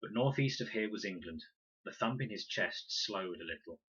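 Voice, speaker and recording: A man reading a children's novel aloud as expressive, deliberate scripted narration. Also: on the low side, slightly rough, lo-fi sound. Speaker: story reader, teacher.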